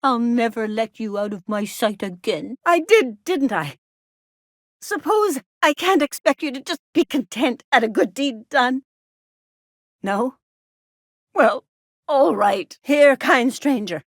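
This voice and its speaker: High-pitched, fretful, smothering frontier mama. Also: no echo, clean studio sound. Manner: melodramatic, fretful, folksy. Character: doting, smothering, anxious middle-aged frontier mother. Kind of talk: video game character dialogue.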